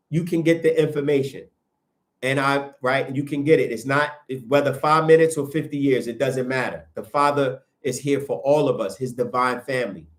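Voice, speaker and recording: A man hosting a livestream, preaching in a casual, animated way and answering viewer comments as he goes, with a sniffly nose. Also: on the high side. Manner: animated, preachy, rises sharply when emphatic. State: sniffling from sinuses. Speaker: livestream host.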